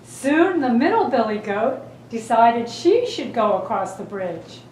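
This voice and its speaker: A woman's voice: on the high side, big pitch swings, unhurried, darkish tone. A woman narrating a story for children. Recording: echoey room, distant mic, faint noise.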